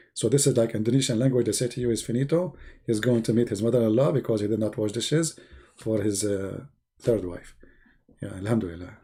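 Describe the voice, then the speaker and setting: Male livestream host, gravelly and mellow-toned, rambling mocking banter. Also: mid-range voice. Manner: mocking, rambling, joking asides. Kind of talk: casual livestream chat.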